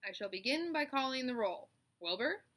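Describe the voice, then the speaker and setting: A bossy, quick-witted female spider from a children's story, as a woman reader puts her on. She speaks in a crisp, exacting way with dry scorn, taking charge of every creature around her. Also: high-pitched, lively intonation, fairly bright tone, voice pushed up. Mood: commanding. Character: brisk, bossy, clever female spider running a meeting. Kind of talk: children's storybook read-aloud.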